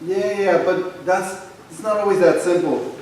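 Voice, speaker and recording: An actor plays a contrarian young man, hesitant in casual stage talk. His high voice moves slowly and carries from far off through a reverberant hall. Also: darkish tone. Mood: hesitant, arguing. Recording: echoey hall, distant mic, faint noise.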